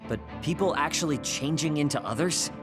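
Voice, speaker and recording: An actor playing a gruff, irritable, skeptical young male soldier in an anime game dub. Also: fairly high pitch, brisk, over music, close to an everyday voice. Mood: skeptical, scolding.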